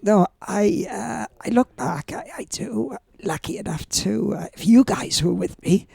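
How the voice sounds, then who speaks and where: A man in his seventies with a Welsh accent and a croaky voice, telling stories casually as a guest, rambling with lots of fillers. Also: high voice, mellow, no room sound. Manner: rambling, halting, with many fillers. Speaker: guest surfer. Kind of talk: casual storytelling.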